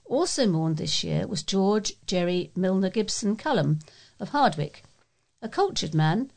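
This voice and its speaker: Female volunteer newsreader, gravelly creak in a mid-pitched voice, reading scripted local items. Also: big pitch swings, measured pace, a little mellow. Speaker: volunteer newsreader for a talking newspaper. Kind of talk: read-aloud scripted local news.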